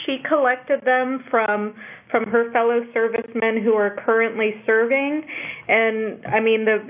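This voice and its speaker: Lady hosting a conference call, phone sound, thin and narrow. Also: mid-range voice. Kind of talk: moderating a networking conference call. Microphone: telephone call.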